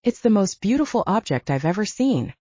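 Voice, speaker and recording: Woman voicing an earnest, husky male werewolf. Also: medium pitch, big pitch swings, fairly quick, warm tone, no echo. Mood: enthralled. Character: adult male werewolf, intense, car-loving, protective. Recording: dull lo-fi audiobook recording.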